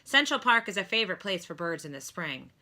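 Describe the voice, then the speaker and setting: A woman playing a brisk, friendly male dentist giving advice in a storybook, with emphatic delivery. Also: fairly low pitch, big pitch swings, pushed and bright, heavily acted. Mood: encouraging.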